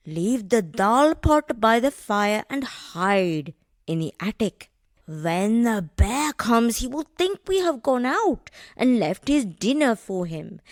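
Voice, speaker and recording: High, swooping crafty wife. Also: male voice, no echo, studio quality, exaggerated voice. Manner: bickering, scolding, scheming. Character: crafty, scornful, bossy peasant wife. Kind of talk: children's storybook character voice.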